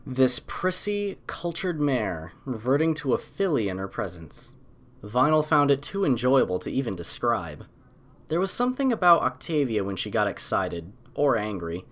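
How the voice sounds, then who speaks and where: A man with a mid-pitched voice narrates a piece of fiction. He reads from a script as an audiobook storyteller. His delivery is measured and expressive.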